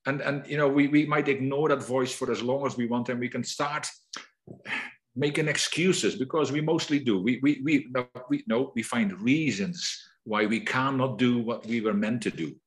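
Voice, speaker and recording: Man, middle-aged, Dutch accent, mid pitch, reflective, restarts often, remote interview guest. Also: normal speed. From Netherlands. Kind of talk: conversational interview.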